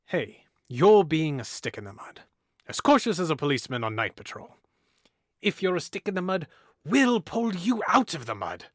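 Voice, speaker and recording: Man doing a witty young noble, mocking, theatrical, very animated pitch, dry close sound. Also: normal speed, no echo. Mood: playful. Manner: playful, teasing, theatrical, swings from hushed to loud. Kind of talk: audio drama acting.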